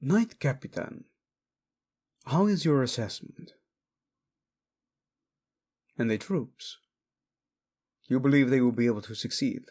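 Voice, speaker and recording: A man's voice: medium pitch, animated, takes it slowly, long pauses, darkish tone. A man playing a proud, vengeful young king, fiercely protective of his wife, in a dramatic romance audio roleplay. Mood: cold, vengeful. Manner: brooding, commanding, tender in endearments.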